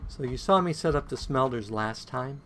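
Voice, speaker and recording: A man giving casual gameplay commentary on his own play, a bit croaky today, counting items aloud and humming to himself. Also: mid-range voice, animated, normal speed, fairly bright tone, slight background noise. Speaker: game streamer.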